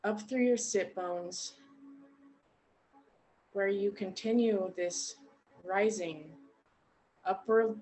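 A woman guiding a meditation in a gentle, unhurried way, leaving long pauses between short phrases. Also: mid-range voice, poor audio. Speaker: meditation guide. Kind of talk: guided meditation narration.

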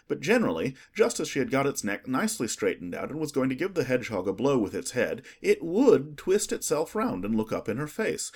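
A man narrating a children's story in an expressive, scripted audiobook style. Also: medium pitch, husky.

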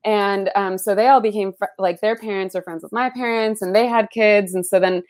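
A woman's voice: mid-range voice, a little fast. Chatty young woman, Spanish her first language. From San Francisco Bay Area, US. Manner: chatty, full of "like" and "um", animated when recalling. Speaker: interview guest, writer. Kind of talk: casual conversational interview.

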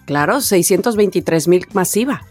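A woman's voice: on the low side, expressive, normal speed, darkish tone, faint music behind. A woman co-hosting a podcast in casual conversation, with a playful, teasing manner. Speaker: podcast co-host. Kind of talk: casual chat.

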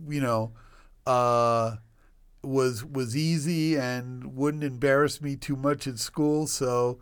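A man's voice: mid-range voice, fairly even intonation, takes it slowly, smooth. A man in his sixties from Northern Virginia, a doctor telling his life story as a guest in a casual interview, rambling with many restarts and self-deprecating humor. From Northern Virginia, United States. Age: 60s. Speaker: guest, obstetrician.

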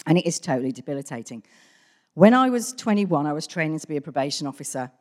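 A middle-aged woman with a hoarse, gruff voice, candidly telling her personal story to a church. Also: deep voice, big pitch swings, fast talker. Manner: candid, conversational, self-deprecating. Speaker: church member giving testimony. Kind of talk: personal testimony. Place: large church hall. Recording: church hall with long reverb.

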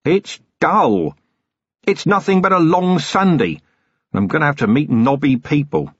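A man voicing a gruff, weary, middle-aged city police commander, sardonic and full of complaint, for an audiobook. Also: medium pitch, very animated, moderate pace, a bit bright, dry recording, dull audio, voice pushed up. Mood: grumbling.

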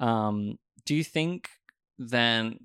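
A man hosting a podcast interview, chatting in a lively way, laughing often and quickly agreeing with his guest. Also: medium pitch, clear, resonant tone, no echo, studio quality. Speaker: podcast host. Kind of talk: casual interview chat.

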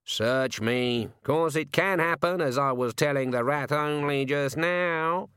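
A man's voice: on the high side, slow pace, fairly bright tone, clear, resonant tone, no room sound, studio quality, voice pushed up. Man voicing a sardonic talking raven. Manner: raised, sardonic and chatty.

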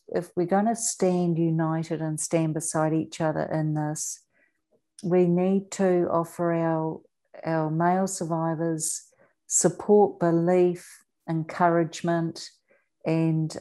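A woman with a New Zealand accent speaking earnestly as an interview guest, deliberate and emphatic, repeating words for weight. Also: fairly low pitch, fairly even intonation, mellow. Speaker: interview guest, author and abuse survivor advocate.

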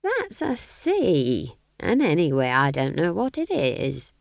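A woman reading aloud, voicing an earthy, bossy older woman witch with a slow, teasing drawl. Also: deep voice, huge pitch swings, creaky, no echo, clean studio sound, heavily acted.

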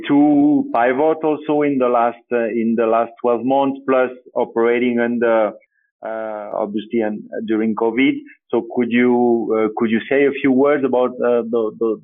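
A man's voice: mid-range voice, steady tone, moderate pace. A man hosting a founders podcast, asking questions hesitantly with many fillers and restarts. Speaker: podcast host, venture capitalist. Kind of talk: interview hosting. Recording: tinny phone-like line.

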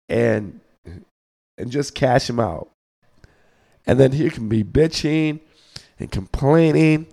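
A hoarse man, getting over the flu, hosts a podcast and delivers a blunt, scolding sports rant, often repeating words as he thinks. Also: mid-range voice, dark tone. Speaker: podcast host.

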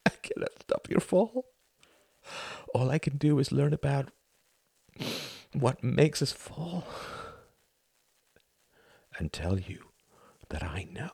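A man voicing a grieving adult son in an audiobook, speaking in a hushed, whispery, emotional way. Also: mid-range voice, sing-song, slow pace, gravelly, pauses often, husky, no room sound, voice pushed up, exaggerated voice. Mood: tender.

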